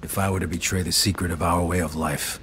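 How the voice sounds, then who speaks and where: An actor playing a young, tough, plainspoken mobster in a game cutscene, casual and streetwise. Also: male voice, low-pitched, steady tone, quick pace, a bit bright, a little hiss or hum. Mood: solemn.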